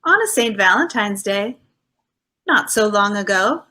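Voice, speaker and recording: A woman's voice: medium pitch, lively intonation, unhurried, sharp tone. A woman playing a teasing, affectionate detective's wife in 1940s radio acting style. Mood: teasing, romantic. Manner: playful, theatrical.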